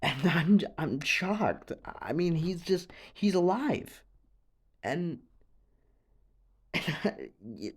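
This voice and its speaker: A young man playing an anxious, haunted teenage boy in an audio drama monologue, speaking haltingly with stammers, sighs and a creaky voice. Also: a little high, very animated, exaggerated voice. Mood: distraught, disbelieving. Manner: halting, stammering, sighing, nervous breathy laughs.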